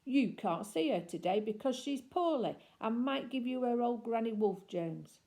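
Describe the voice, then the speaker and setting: A big, mean bully girl, as a woman acts her out, barks her lines loud and coarse, sneering and taunting. The voice is pushed up above the reader's own and has a mellow, dark tone. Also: a little high, lively intonation, normal speed, voice pushed up. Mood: sly.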